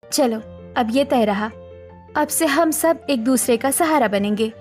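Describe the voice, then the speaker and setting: A woman's voice: high-pitched, a little slow, darkish tone, faint music behind. A woman voicing a young, supportive and assertive daughter-in-law in a cartoon family drama, earnest and firm.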